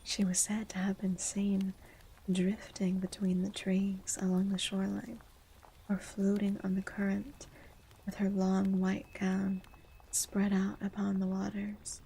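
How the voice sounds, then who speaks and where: A woman whispering softly, chatting and reading a legend in an ASMR stream, with a feminine voice on the low end of the register. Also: completely flat delivery, a little slow, a little hiss or hum, poor audio. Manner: soft unvoiced whispering.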